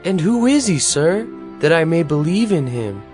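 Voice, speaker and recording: High-voiced earnest healed beggar. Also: male voice, very animated, normal speed, music in the background. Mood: reverent. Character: formerly blind beggar, earnest, bold, astonished. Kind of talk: dramatized audio Bible acting.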